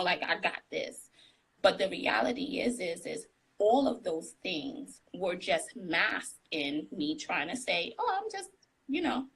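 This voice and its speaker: Candid woman guest, bright with some fry, lively, on a live video call. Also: on the low side, poor audio. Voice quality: describes her own voice as tiny. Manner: candid, animated, laughs often. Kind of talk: casual interview chat. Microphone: phone on a live video call.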